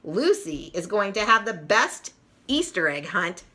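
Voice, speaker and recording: An expressive woman narrates a children's storybook. Her voice is very bright and forward, swinging widely in pitch. She takes her time, telling it at a slow, deliberate pace. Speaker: storybook reader.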